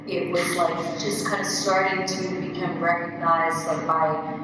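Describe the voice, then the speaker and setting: A young woman singer with a low speaking voice, chatting in an animated way with many fillers as a guest at a gallery event. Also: unhurried. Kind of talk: casual panel conversation. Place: art gallery event space. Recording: echoey gallery room, distant mic, audible noise.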